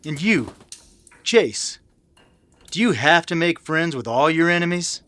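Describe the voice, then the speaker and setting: A man playing a proud, prickly cowboy father in a western radio drama, talking in a terse, gruff manner. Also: on the high side, animated, a little slow, fairly bright tone, slight background noise, voice pushed up. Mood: indignant. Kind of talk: old-time western radio drama acting.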